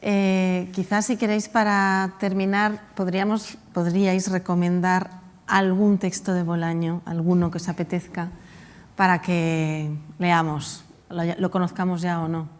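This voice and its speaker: A woman moderating a round table, briskly steering the talk toward closing. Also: on the low side, little pitch movement. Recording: reverberant hall.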